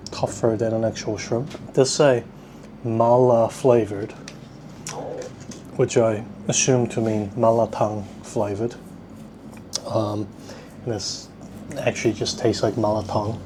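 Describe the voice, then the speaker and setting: Rambling male vlogger with clear background noise. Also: mid-range voice. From South Korea, at least partly. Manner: rambling, self-deprecating, thinking aloud. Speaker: vlog host. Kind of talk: casual food-tasting vlog chat. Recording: clearly noisy ambient background. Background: ambient noise.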